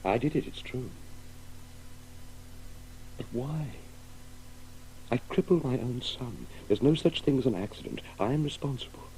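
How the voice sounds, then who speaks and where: An actor playing an anguished middle-aged father full of guilt and grief in a radio play, halting and repeating himself. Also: mid-range voice, expressive, mellow, close to an everyday voice, rough recording. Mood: guilt-ridden, anguished. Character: anguished, guilt-ridden father grieving a lost woman. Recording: faint hiss of an old radio broadcast.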